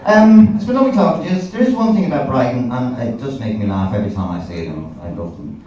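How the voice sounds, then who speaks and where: A man's voice: medium pitch, expressive, fast, warm tone. A man in his forties with an Irish accent performing a sweary, wry stand-up routine to a live audience, telling stories with acted-out dialogue. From Ireland. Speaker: stand-up comedian. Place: indoor comedy venue before a live audience. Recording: echoey live venue, distant, noisy.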